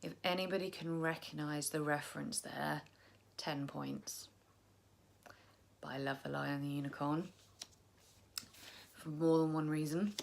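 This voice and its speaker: A young woman chatting casually to camera about her tarot decks, tired and croaky, rambling and poking fun at herself. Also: deep voice, fairly even intonation, a little slow, long pauses. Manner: chatty, self-deprecating, rambling. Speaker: tarot vlogger.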